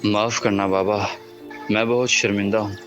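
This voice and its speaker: A resolute refugee leader who gives orders with quiet authority, as a man voices him. His voice sits a bit low, with music playing underneath this audio drama. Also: flat delivery, normal speed, close to an everyday voice. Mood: firm.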